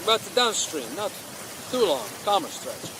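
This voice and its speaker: A man playing an excitable, dreamy gold prospector in a radio drama, with colourful, exclamatory speech. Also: high-pitched, a little slow. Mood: cheerful, wary. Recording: noisy outdoor river effects behind.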